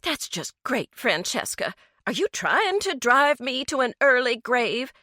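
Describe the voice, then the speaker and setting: A woman voicing a frantic, scolding middle-aged mother, high and shrill. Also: sing-song, measured pace, sharp tone, no room sound, studio quality, full character voice. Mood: outraged.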